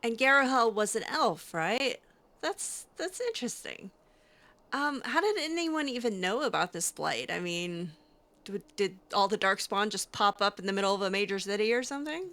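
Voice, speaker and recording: A woman's voice: medium pitch, very animated, moderate pace, a bit bright. A woman voicing a skeptical, curious young mage in improvised game roleplay, hesitant and questioning with sardonic asides. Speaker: roleplay gamer.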